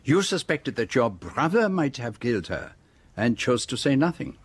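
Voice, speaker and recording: A methodical radio play detective, precise and formal, probing, self-assured, medium pitch. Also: male voice, big pitch swings, normal speed, breathy edge, no echo. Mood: accusing, deliberate. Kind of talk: radio drama acting, monologue.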